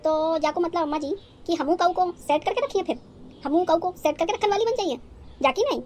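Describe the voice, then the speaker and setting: A woman's voice: very high voice, a little fast, a little mellow, slight background noise. A woman playing a naive, dramatic, talkative young village bride in a cartoon, with a rural North Indian accent and a rambling, guileless manner. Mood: indignant. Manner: rambling, guileless, theatrically indignant. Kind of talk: cartoon story dialogue in rustic dialect.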